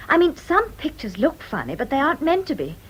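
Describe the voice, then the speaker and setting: A woman's voice: sits high, huge pitch swings, fairly quick, a little hiss or hum, low-quality recording. A woman playing a naive, timid young wife in a radio drama, hesitant, flustered and eager to please. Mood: flustered, earnest.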